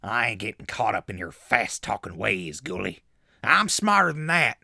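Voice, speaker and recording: Gravelly, hot-tempered backwoods hermit. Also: male voice, fairly low pitch, sing-song, moderate pace, pushed and bright, no echo, clean studio sound, exaggerated voice. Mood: indignant. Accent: rural Southern US. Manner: folksy, ungrammatical, threatening. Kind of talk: post-apocalyptic comedy audio drama acting.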